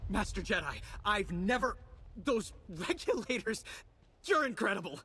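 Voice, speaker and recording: A flustered, awestruck young recruit in a video game. His voice runs high and swings wildly, excitable throughout, with loud noise around it. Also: measured pace. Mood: flustered awe. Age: young adult. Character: jittery, awestruck young accountant turned militiaman. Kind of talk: video game cutscene acting.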